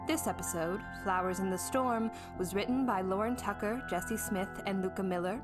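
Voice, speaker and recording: A woman's voice: mid-range voice, moderate pace. A woman reading scripted podcast credits as an announcer. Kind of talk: scripted narration. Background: loud music.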